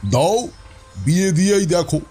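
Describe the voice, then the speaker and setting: A man playing a wealthy older father who is gruff, offhand and dismissive in an audio drama. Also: fairly high pitch, dark tone, exaggerated voice.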